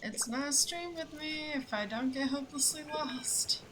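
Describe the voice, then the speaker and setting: A woman's voice: sits high, moderate pace, a bit bright, poor audio. A young woman giving casual live commentary as she streams a video game.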